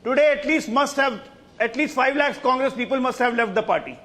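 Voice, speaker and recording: A man's voice: very high-pitched, fairly quick, fairly bright tone. A man with an Indian accent arguing politics as a panellist on a TV news debate, in an indignant, emphatic and scornful way.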